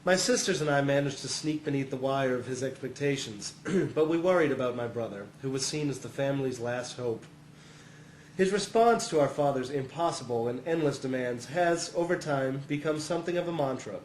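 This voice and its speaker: A man's voice: mid-range voice, moderate pace, reverberant, slight background noise, rough recording. A man reading a humorous first-person essay as scripted narration, sounding amused and often close to chuckling.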